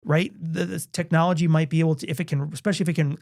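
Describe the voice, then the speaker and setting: A man's voice: on the high side, little pitch movement, racing, creaky, darkish tone. A male podcast co-host talking casually and enthusiastically about books, with lots of restarts and fillers. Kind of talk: casual chat.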